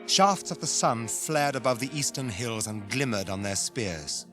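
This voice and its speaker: A British man narrates a radio drama in scripted storytelling style. His delivery is slow and measured, at a middling pitch, with prominent music underneath. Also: lively intonation.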